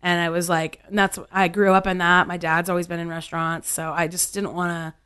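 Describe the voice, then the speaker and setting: A woman's voice: fairly low pitch, little pitch movement, fairly quick, a bit bright, smooth. A woman of thirty-eight from California, a bartender chatting casually in an interview, with loose phrasing full of "like" and "um". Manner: chatty, loose, many fillers like "like" and "um". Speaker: bartender, guest.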